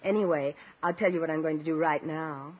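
A woman's voice: sits low, a little fast, slightly rough. A woman playing a sweet, naive, doting sweetheart in a 1950s radio comedy. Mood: coy, affectionate. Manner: coy, gushing. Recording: muffled, hissy old radio recording.

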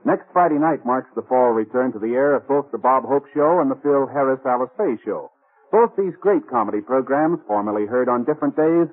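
Formal 1950s radio announcer, muffled recording. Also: male voice, mid-range voice. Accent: American. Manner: formal, polished delivery. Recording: muffled vintage radio broadcast, faint music.

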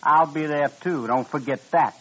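A man's voice: fairly high pitch, normal speed, husky. An actor playing a hot-headed, suspicious young man, the brother in a frontier family, in a radio western. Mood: defiant. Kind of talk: 1950s radio western acting. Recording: dull old radio recording.

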